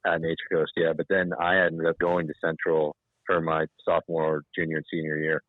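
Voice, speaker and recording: A man, a podcast guest, speaking in a measured, thoughtful way in casual conversation. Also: very deep voice, completely flat delivery, no room sound. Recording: tinny phone-like line.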